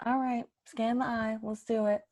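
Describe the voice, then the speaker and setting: A woman's voice: a little high, little pitch movement, moderate pace, darkish tone, natural voice. A woman playing an impatient, skeptical boss in an improvised comedy scene, sarcastic and exasperated.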